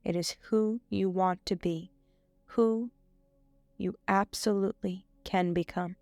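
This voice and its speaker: Female meditation guide: smooth, clear voice, unhurried and soothing, soft music behind. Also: medium pitch, fairly even intonation, no room sound. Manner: soothing, measured delivery with frequent pauses. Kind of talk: scripted guided meditation, hypnotic suggestion.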